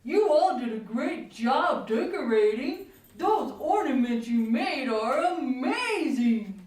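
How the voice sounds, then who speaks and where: A woman's voice: sits high, big pitch swings, takes it slowly, a little mellow, full character voice. A woman voicing a friendly, encouraging reindeer puppet in a children's show, recorded in an echoey room. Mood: grateful. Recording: echoey room, distant mic, faint noise.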